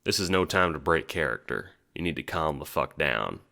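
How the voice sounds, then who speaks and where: A man's voice: a bass voice, lively intonation, moderate pace, creaky, bright, forceful tone. A man narrating an audio drama in the second person, as if telling the listener their own story.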